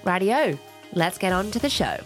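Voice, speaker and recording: A woman's voice: medium pitch, very animated, normal speed, soft background music. A woman hosting a podcast, reading a scripted introduction and asking interview questions with enthusiasm. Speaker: podcast host.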